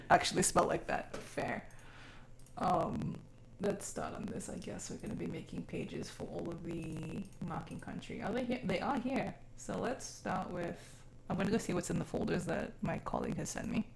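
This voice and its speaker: A woman's voice: lowish voice, moderate pace, gravelly, slight background noise. A woman born in South Africa who grew up mainly overseas, chatting casually and expressively as a live streamer, with informal, sweary phrasing. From born in South Africa, grew up mainly overseas from age six. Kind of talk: casual chat.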